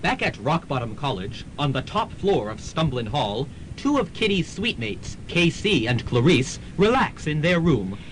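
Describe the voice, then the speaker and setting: A man delivers dramatic scripted narration like a serial announcer. His voice is a little high and very animated, with faint rumble on a student radio recording. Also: a little slow, few pauses, low-quality recording.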